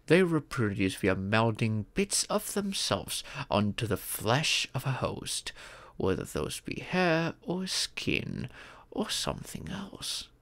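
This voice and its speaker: A man voicing a wise, fatherly male dragon who patiently teaches in a measured, formal storytelling manner for a roleplay audio. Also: mid-range voice, vocal fry, fairly bright tone, no room sound, heavily acted. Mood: patient, instructive.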